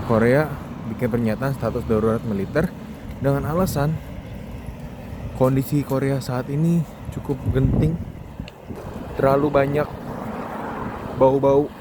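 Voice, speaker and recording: Young Indonesian man vlogging over street noise. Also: medium pitch. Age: young adult. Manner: informal, conversational, addresses the audience with questions. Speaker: vlogger, foreign student. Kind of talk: casual monologue commentary to viewers.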